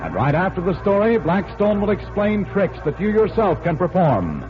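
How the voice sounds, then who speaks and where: A man's voice: sits high, big pitch swings, normal speed. A man announcing in a dramatic 1940s radio style, theatrical and showmanlike. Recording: dull 1940s radio recording. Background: loud music.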